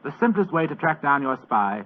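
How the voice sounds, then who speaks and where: An actor playing a fat, lazy, superior British government official in a 1940s radio drama, with a languid, pompous, condescending manner. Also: male voice, a little high, sing-song, fairly quick, slight background noise. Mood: languid, dry. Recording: old muffled 1940s radio recording.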